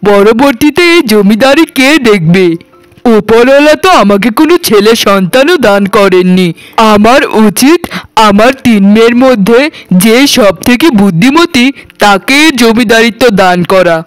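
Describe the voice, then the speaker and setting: Man as a high-pitched, solemn landlord father. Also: expressive, moderate pace, clear, resonant tone, no room sound, soft background music, rough recording. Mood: worried. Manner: solemn, commanding. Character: worried, authoritative landlord father of three daughters. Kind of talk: cartoon voice acting.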